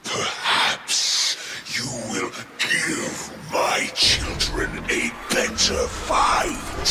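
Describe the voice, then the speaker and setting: A man's voice: drawn-out words, shouting, over loud music. A man playing a fanatical, bloodthirsty undead alien warlord in a video game, theatrical and taunting. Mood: taunting menace. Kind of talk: video game villain acting.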